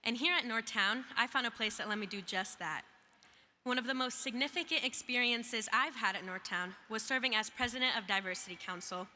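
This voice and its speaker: Young woman giving a bright graduation speech. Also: fairly high pitch, brisk. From Iraq. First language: Arabic. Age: young adult. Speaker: student speaker. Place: large hall. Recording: reverberant hall with faint noise.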